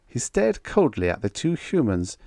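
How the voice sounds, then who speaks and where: A man's voice: mid-range voice, sing-song, moderate pace, creaky, a little mellow, dry recording, clean studio sound. A man reading scripted story narration as an audiobook narrator.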